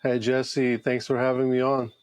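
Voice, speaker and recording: A man in his thirties with a Latvian accent, talking conversationally as an interview guest in a halting, reflective way. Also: mid-range voice, level tone, no echo. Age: 30s. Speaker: podcast guest, retreat founder.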